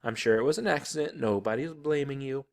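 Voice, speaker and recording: A man's voice: mid-range voice, fairly quick, creaky, natural voice. A man voicing a mother of young children in a storybook, doting but stern, with a fussing, firm manner. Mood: reassuring. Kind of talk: audiobook character voice.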